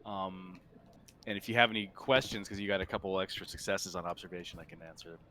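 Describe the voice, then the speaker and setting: A man acting as game master, improvising halting scene descriptions for a tabletop roleplaying game. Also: deep voice, little pitch movement, bright, forceful tone, a little hiss or hum.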